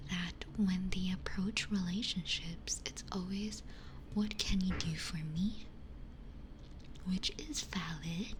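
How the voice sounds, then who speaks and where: A woman whispering breathily and almost without voicing, in a hushed, conversational ASMR livestream style, close to a binaural microphone. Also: medium pitch, background noise. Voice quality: whispered, breathy, mostly unvoiced. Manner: hushed, unhurried conversational musing with pauses. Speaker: ASMR streamer, host. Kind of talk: ASMR, chatting with live chat.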